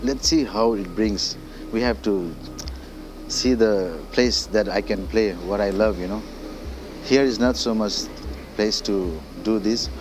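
A Nepali-speaking man with a Nepali accent, a singer-songwriter talking casually as a guest, halting and full of fillers like "you know" and "like". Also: on the low side, over music, poor audio. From Darjeeling hills, India. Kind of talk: casual interview. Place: indoors.

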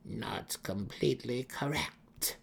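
Man voicing slow, withered old dark elf matriarch. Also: mid-range voice, creaky, slightly rough, voice pushed up, full character voice. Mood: calm, menacing. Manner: measured, drawn-out, mysterious delivery.

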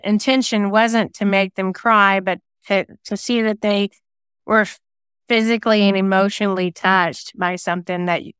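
A woman's voice: mid-range voice, fairly bright tone, clean tone, no echo. A woman giving reflective, earnest answers as a guest in a conversational interview. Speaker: guest, singer-songwriter and novelist.

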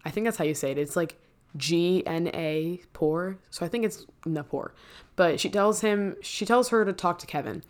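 A woman with a notably low speaking voice talks through a stage musical scene by scene. Her delivery is casual and conversational, dotted with "like" fillers. She often stops to correct herself mid-sentence. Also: moderate pace. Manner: chatty, many "like" fillers and self-corrections. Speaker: musical theater fan recapping a show. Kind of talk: casual spoken recap.

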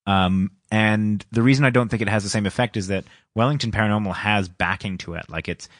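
A man talking in a casual podcast chat, his voice a touch low. He tells stories animatedly and laughs often. He is close to the mic in a dry, dead room. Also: brisk, dry recording. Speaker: podcast co-host.

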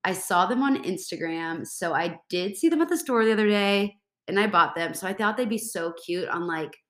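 A woman's voice: mid-range voice, expressive, normal speed, reverberant. A congested young woman hosting a casual solo podcast, bubbly and rambling, filling gaps with "like". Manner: bubbly, rambling, says like a lot. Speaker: podcast host.

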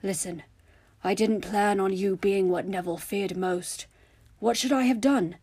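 A woman voicing a calm, apologetic male werewolf teacher in a storybook, gentle and placating, with a hoarse voice. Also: high voice, unhurried, exaggerated voice.

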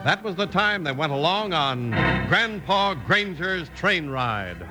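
An American man announces over music in a vintage radio recording. His voice is high for a man and swings widely, with emphatic, polished, scripted salesmanship. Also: expressive, a little slow, low-quality recording.

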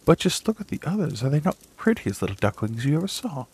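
A man voicing a fussy, proud mother duck in a storybook reading, with a high, fluttering put-on voice. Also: big pitch swings, fast talker, darkish tone, breathy edge. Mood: complaining.

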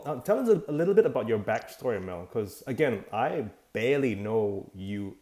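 A man's voice: mid-range voice, very animated, normal speed, warm tone. A man hosting a casual interview, prompting his guest with friendly questions and short acknowledgements. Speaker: podcast host.

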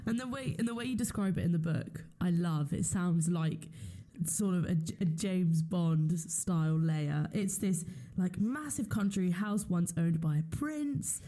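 Female podcast host, dark mellow tone, slightly low register, chatty and keenly interested. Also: a little slow. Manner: enthusiastic, appreciative. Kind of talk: conversational interview.

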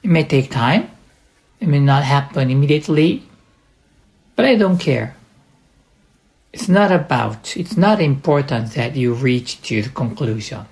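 A man with a Japanese accent delivers an instructional podcast monologue as a coach. His pace is slow and his voice sits at a medium pitch. He places his words deliberately, in short phrases with halts between them. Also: a little mellow. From Japan, partly raised in the United States.

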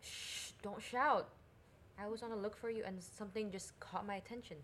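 A woman's voice: mid-range voice, fairly even intonation, moderate pace, fairly bright tone, close to an everyday voice. Woman acting curious young princess, faint noise. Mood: sly, urgent. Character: curious young princess, restless and affectionate sister. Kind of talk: audio drama acting.

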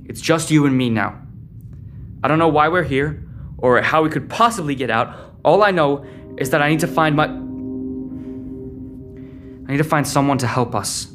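A man's voice: on the high side, normal speed, long pauses, fairly bright tone, soft background music, natural voice. A man playing a troubled, short-tempered but protective teenage boy in a sci-fi audio drama, guarded and terse. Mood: frustrated, scared.

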